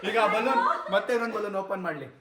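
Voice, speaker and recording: A man hosting a casual family vlog, excitable and playful, often repeating himself as he gives instructions. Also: high voice, quick pace, heavy background noise. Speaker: vlog host organizing a family challenge. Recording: chatter of other people behind.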